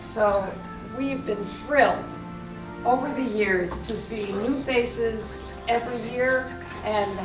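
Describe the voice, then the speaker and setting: A woman hosting an evening event, introducing the guest speaker in measured remarks. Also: mid-range voice. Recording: roomy hall, from a distance, music behind.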